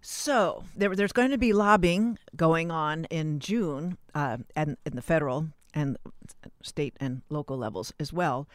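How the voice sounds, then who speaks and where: A man's voice: on the high side, unhurried, smooth, no echo, studio quality. A man hosting an interview, putting questions to a guest in a casual, conversational style. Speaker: interview host.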